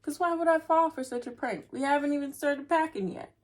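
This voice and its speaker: A woman's voice: high voice, a little fast. A woman hosting a children's read-aloud, chatting playfully to young listeners and reading aloud.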